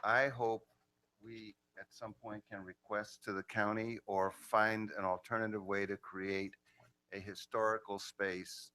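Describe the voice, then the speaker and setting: Deep bright-toned man making earnest comments. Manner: earnest, with fillers. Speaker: council member. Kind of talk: council comments.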